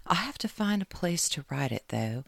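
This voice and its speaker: Subdued, searching woman novelist, voiced by the narrator, gravelly, close and dry. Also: medium pitch, big pitch swings, moderate pace, dry recording, pitched above the performer's own voice. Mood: weary. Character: lost, unsure woman writer.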